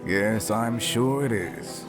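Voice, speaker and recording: A man acting in an audio roleplay as a stern, condescending father, formal, deliberate and snide. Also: lowish voice, over music. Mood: cool, curt.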